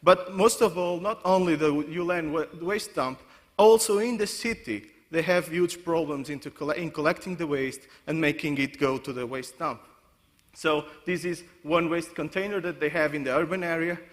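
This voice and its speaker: A man from Portugal giving a conference presentation, often restarting and correcting himself mid-sentence. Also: a little high. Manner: halting, many restarts and self-corrections. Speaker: conference speaker. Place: conference hall. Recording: long hall reverb.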